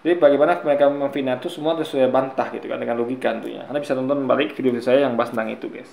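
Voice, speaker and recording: A man's voice: mid-range voice, steady tone, racing, creaky, darkish tone, reverberant. A man giving argumentative commentary on a video, lecturing his viewers and often calling them guys.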